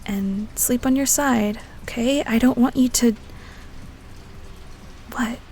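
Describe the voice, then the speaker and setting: A woman playing a devoted, teasing young woman secretly in love with a best friend, tender and halting in an audio roleplay. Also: on the high side, darkish tone, slight background noise. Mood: fond, caring. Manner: tender, halting, stammering when rattled. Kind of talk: audio roleplay acting to the listener.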